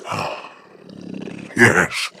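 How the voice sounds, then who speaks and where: An actor playing a sly, scheming rogue in a video game, with a gravelly voice and a smug, teasing manner. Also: male voice, very slow, sharp tone, a little hiss or hum, full character voice.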